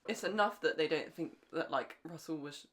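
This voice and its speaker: A woman's voice: deep voice, moderate pace. A woman co-hosting a casual fan podcast, chatting in a slangy, agreeable way and readily chiming in. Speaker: podcast co-host.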